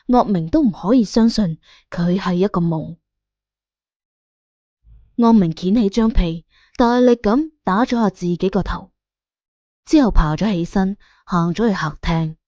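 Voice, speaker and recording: A woman's voice: medium pitch, lively intonation, measured pace, dark tone, dry recording, muffled recording. A woman narrating an audiobook in a colloquial storytelling style.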